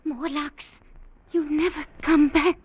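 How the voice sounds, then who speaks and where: An actress in a 1950s radio drama playing a timid, frightened, childlike young woman who speaks in halting broken phrases. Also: sits high, flat delivery, crowd noise. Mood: frightened pleading. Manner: halting broken speech in very few words. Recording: dull old radio recording, noisy background.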